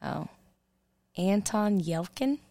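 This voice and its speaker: A woman's voice: mid-range voice, animated, slow, mellow, no echo. A woman in her twenties co-hosting a podcast, teasing and playful in casual chat. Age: 20s. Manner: teasing, playful, laughs easily. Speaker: podcast co-host.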